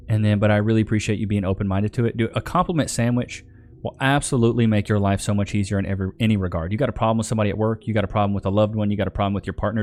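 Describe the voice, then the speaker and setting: A man with a fairly low voice talks like an online streamer. He is slangy and emphatic as he gives his commentary, with faint music playing behind him. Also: a little mellow. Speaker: online video host reacting to clips.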